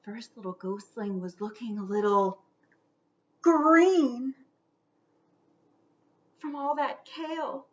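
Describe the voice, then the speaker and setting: A woman reading a children's storybook aloud theatrically, stretching out words and dropping into hushed whispers for suspense. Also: on the high side, fairly even intonation, takes it slowly, pauses often, highs cut off.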